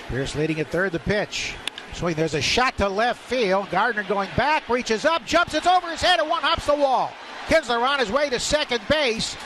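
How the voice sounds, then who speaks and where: Male radio baseball play-by-play announcer, higher-pitched, clipped delivery, live broadcast with heavy background noise. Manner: clipped phrases, rising to excited calls on big plays. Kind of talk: live radio sports commentary. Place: ballpark broadcast booth.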